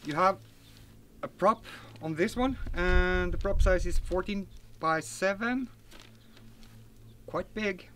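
A man's voice: high-pitched, slow, long pauses, a bit bright, a little hiss or hum. A man in his forties, a native Norwegian speaker, chatting casually outdoors in a garden as he unboxes and reviews a model plane, with plenty of fillers and self-corrections. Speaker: RC hobby reviewer.

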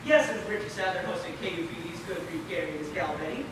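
A young man on stage playing a fussy, flattering radio show boss in a theatrical, projected way. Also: high-pitched, fast. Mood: flustered, phone patter. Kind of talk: amateur stage play acting. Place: large indoor theater stage, far camera. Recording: echoey stage from far off, loud noise.

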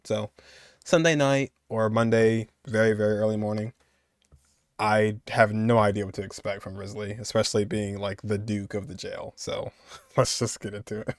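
A man's voice: on the low side, steady tone, normal speed, no echo, studio quality. A man in his twenties giving casual, off-the-cuff reaction commentary in a hesitant, noncommittal way. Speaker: reaction video host.

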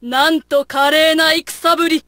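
A woman's voice: sits high, fairly even intonation, slow, pushed and bright, no echo, clean studio sound, full character voice. A woman voicing a proud, gallant noble warrior in a video game, with formal, stately martial delivery. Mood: proud, commanding. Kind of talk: video game battle voice lines.

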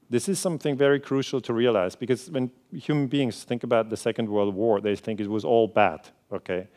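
Man in his 40s, swiss german accent, non-native english, earnest conversational lecture, fairly low voice, theater stage keynote. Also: a little mellow. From Switzerland. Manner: earnest, conversational, many filler sounds, moves and gestures while speaking. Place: large theater stage with audience.

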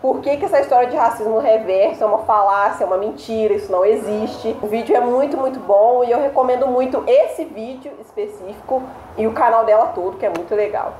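A young woman talks enthusiastically in a fairly high, mellow voice. She sits indoors before a plain wall, with a roomy echo and faint background hiss. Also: fairly quick, few pauses. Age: young adult. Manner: enthusiastic recommending, chatty. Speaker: co-host. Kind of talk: casual chat.

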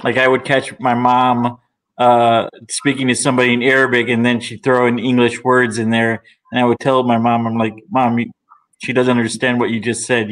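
Man, video call panelist, casual, flat intonation, says like a lot. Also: mid-range voice, rough recording. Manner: casual, quick, with "like" and "uh". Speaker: panel artist. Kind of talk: casual anecdote.

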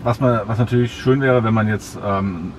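A middle-aged man in a parked car, explaining features slowly and hesitantly with many fillers. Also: medium pitch, slight background noise. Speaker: car owner demonstrating his car. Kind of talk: casual explaining.

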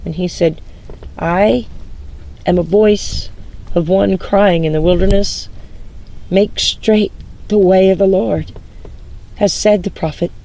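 A woman reading the Bible aloud devotionally, in deliberate, halting phrases with pauses, in a home recording. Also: mid-range voice, rough recording. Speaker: scripture reader. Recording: home recording with steady background noise.

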